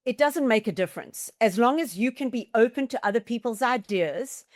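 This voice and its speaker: A woman's voice: a little high, animated, fairly quick. A middle-aged woman with a South African accent, answering interview questions in an emphatic, explanatory, conversational way. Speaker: podcast guest, team-building business leader.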